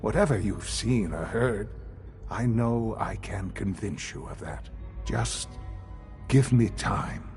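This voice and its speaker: An actor playing an elderly male leader of a secretive science institute in a video game, speaking in a measured, formal, gravely earnest way. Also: fairly low pitch, lively intonation, darkish tone, faint music behind. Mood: earnest, persuasive. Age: older. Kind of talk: video game dialogue acting.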